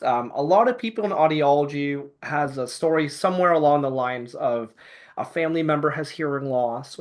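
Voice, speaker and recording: A man with a Canadian accent, a podcast guest explaining things in casual, talkative style with plenty of filler phrases like "sort of". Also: on the high side. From Canada, Toronto area, born in Hong Kong. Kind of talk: casual chat.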